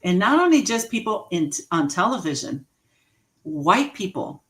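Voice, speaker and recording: A woman in her forties giving an inspirational talk, slow and emphatic, repeating key phrases and laughing at her own jokes. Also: medium pitch, very animated, fairly bright tone. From Mexican heritage, raised in the US. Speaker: motivational speaker. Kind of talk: inspirational speech.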